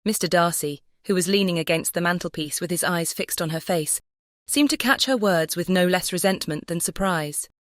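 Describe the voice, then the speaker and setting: A woman reads literary narration for an audiobook in a slightly low voice. Her phrasing is careful and composed, and the recording is close and free of room sound. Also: moderate pace, dry recording.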